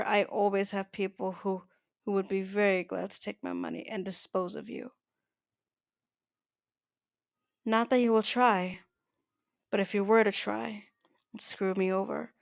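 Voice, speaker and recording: A woman playing an older mafia boss in an ASMR roleplay, soft-spoken, possessive and quietly menacing, with a halting, intimate delivery. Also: mid-range voice, vocal fry, no echo, studio quality, natural voice. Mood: calm, threatening. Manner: halting, stammering, intimate address to the listener.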